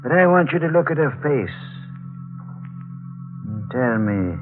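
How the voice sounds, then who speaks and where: Man playing a slow, somber morgue attendant. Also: mid-range voice, expressive, lightly acted. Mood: grave. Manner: deliberate, grave, mysterious. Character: somber, fatherly older male morgue attendant. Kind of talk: 1940s radio drama acting. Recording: tinny 1940s radio recording, faint music.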